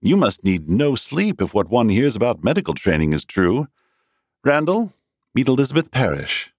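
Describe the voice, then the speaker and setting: A man's voice: mid-range voice, very animated, moderate pace, a little mellow, husky, no room sound, clean studio sound, pitched above the performer's own voice. A man voicing a confident, well-off young male researcher who talks breezily and a little formally. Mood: breezy. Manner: breezy, talkative, slightly formal. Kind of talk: audiobook character reading.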